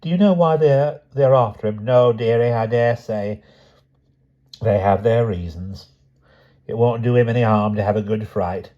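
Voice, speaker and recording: A man voicing a calm, cheerful old woman who talks in a chatty, homely way. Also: medium pitch, moderate pace, muffled recording, voice pushed up, close to an everyday voice. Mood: serene.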